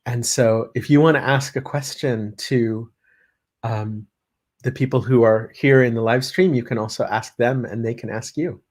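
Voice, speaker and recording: A man hosting a live-streamed panel discussion, speaking haltingly with many pauses. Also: mid-range voice, steady tone, a little mellow. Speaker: host, panel moderator.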